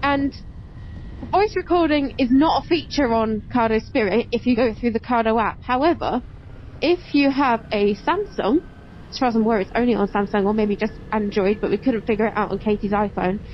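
Muffled, high-voiced woman on motorbike. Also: moderate pace. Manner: chatty, explanatory. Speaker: motovlog host. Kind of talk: casual vlog chat while riding. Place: on a motorbike on open roads. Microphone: helmet intercom mic. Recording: muffled helmet intercom mic with engine noise. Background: engine and road noise.

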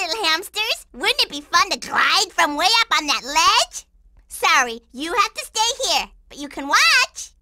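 Cartoon game role: sweet, playful little girl, very high squeaky voice, unhurried singsong delivery. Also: sharp tone, no echo, exaggerated voice. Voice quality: squeaky, childlike. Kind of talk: cartoon video game voice acting.

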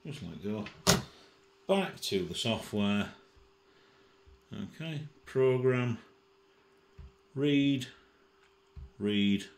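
Very low male voice, unhurried, informal tutorial, thinking aloud, roomy echo. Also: animated, darkish tone. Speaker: tutorial presenter. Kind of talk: informal spoken software demonstration. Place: indoors at a computer desk.

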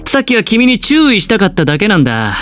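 A man playing a suave, scheming young male gambler in a game, with a smooth, teasing, theatrical delivery. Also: high-pitched, wildly swooping pitch, fast, fairly bright tone, full character voice. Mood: sly politeness. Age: young adult. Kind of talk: Japanese game dialogue voice acting. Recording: music behind the voice.

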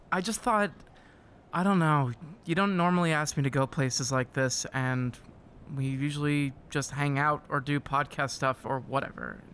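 A man's voice: on the high side, a little slow, fairly bright tone, a little hiss or hum. An actor playing a supportive young male friend, casual, tentative and wry, in a naturalistic audio drama. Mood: nervous.

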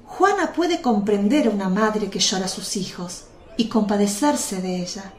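A woman acts a serene, consoling mother in a religious radio play. Her mid-pitched voice is gentle and earnest, set in a roomy echo with birdsong. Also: expressive, moderate pace, close to an everyday voice. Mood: tender, reporting. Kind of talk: Spanish religious radio drama.

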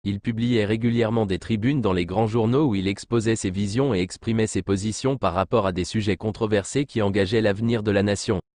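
A man with a fairly low voice reads a scripted news commentary. His delivery is formal and literary, clearly read from a written text. The sound is dry, with little room around the voice. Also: steady tone, fairly quick, few pauses, a little mellow, no echo. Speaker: news narrator.

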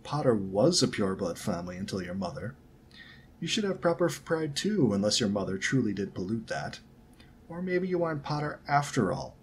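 A man's voice: fairly low pitch, very animated, a little fast, a little hiss or hum. A man voicing a haughty, condescending rich schoolboy bully with a lazy drawl, in a storybook reading. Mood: threatening.

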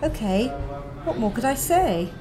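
A woman reading her own first-person story aloud in a gentle, wry manner. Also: fairly high pitch, very animated, a little slow. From England. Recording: music playing behind.

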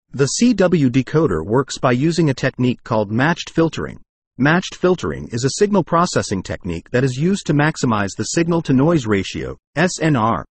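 A man reads a scripted product review with plenty of movement in his pitch. His delivery is promotional, like polished sales copy. The voice is slightly husky and recorded very dry, with no room sound. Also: no room sound.

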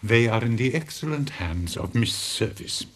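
A man's voice: medium pitch, lively intonation, moderate pace, fairly bright tone. A man acting in a radio drama as an elderly, weary schoolmaster, speaking formally and hesitantly with dry irony. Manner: formal, hesitant, drily ironic. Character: elderly weary schoolmaster, courteous and drily ironic.

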